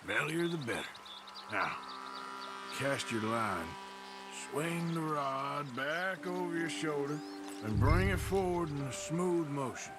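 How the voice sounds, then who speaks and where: Slow-spoken outlaw, middle-aged, lively lilt, game score behind. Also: male voice, a little high. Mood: easygoing. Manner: easygoing drawl, patient and plainspoken. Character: weary middle-aged outlaw cowboy, gentle with a child. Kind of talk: video game cutscene acting. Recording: game audio with music underneath.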